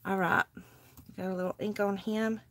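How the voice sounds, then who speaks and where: A woman's voice: mid-range voice, flat delivery, normal speed, fairly bright tone. Chatty Southern woman crafting live. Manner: chatty, talks to viewers while working. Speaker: craft livestream host. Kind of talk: casual live crafting chat. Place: indoor craft table, overhead camera.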